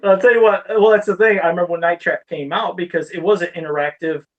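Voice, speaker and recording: Man, podcast co-host, rapid speech, slightly high pitch, rambling stories, swears freely, casual gaming chat. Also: steady tone, highs cut off. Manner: profane, rambling storyteller.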